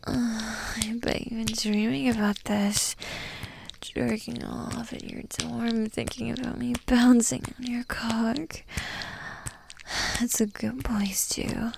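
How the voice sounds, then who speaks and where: Woman breathy whispering up close, super slow and bossy, a bit gravelly. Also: on the high side, flat delivery, background noise. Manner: dominant, teasing, commanding. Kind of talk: whispered adult roleplay audio.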